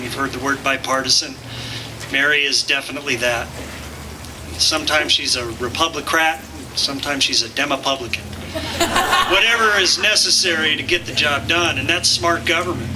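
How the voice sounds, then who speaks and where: Folksy firefighter speaking at a rally. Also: male voice, a little high. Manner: folksy, jokey delivery. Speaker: firefighter association representative. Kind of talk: public speech at a political rally. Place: outdoor campaign rally. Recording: outdoor rally with clear background noise.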